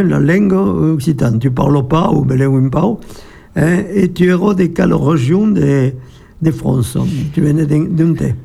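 A man's voice: fairly high pitch, gravelly, warm tone, slightly rough. An older man hosting a casual interview, rambling and repeating his questions as he goes.

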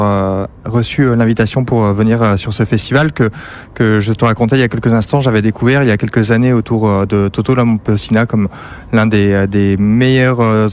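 A man hosting a radio show, interviewing informally on location in a halting, hesitant manner. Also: lowish voice.

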